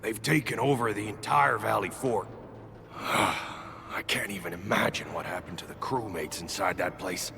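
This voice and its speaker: An actor playing a weary, anxious male refugee settler in a video game, speaking earnestly and haltingly in rough, folksy phrases. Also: fairly low pitch, bright, forceful tone, full character voice. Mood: weary, bitter. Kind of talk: dramatic video game voice acting. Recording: long hall reverb, music behind. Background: game music.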